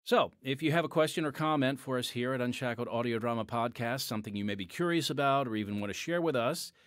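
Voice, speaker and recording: A man's voice: mid-range voice, fast, fairly bright tone, no echo. A man hosting a podcast in a friendly, chatty manner, reading announcements from a studio mic. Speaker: podcast host. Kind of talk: podcast host segment and announcements.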